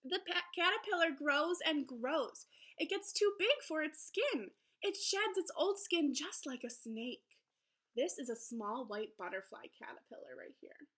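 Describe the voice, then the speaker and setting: A woman teacher reading aloud to young children in an encouraging, expressive storybook manner. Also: high-pitched, a little slow. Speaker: elementary school teacher. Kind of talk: children's read-aloud lesson.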